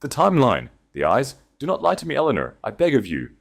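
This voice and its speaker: A man's voice: mid-range voice, huge pitch swings, fast, vocal fry, voice pushed up, heavily acted. Man doing a ragged, pleading broken duke. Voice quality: ragged, shaking voice.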